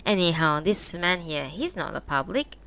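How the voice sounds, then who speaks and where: A woman voicing a gentle, weary middle-aged man who speaks low, soft and placating. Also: lively intonation, moderate pace, gravelly, full character voice.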